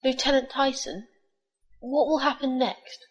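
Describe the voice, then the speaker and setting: An actress playing a polite, curious young squire in an audio drama. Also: female voice, sits high, fairly even intonation, unhurried. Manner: polite, inquisitive.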